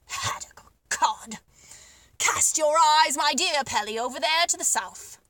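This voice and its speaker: A woman's voice: high-pitched, lively intonation, measured pace, sharp tone, no echo, heavily acted. A woman voicing a hearty, generous, know-it-all Duke in a children's storybook, with a pompous, emphatic delivery. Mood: indignant, booming.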